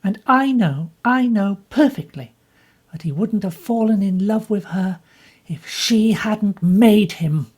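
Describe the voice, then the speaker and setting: Passionate bitter young woman, pushes every word, kinda husky, woman doing her. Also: medium pitch, lively intonation, slow pace, a little mellow, voice pushed up. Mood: impassioned. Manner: intense, pleading, emphatic.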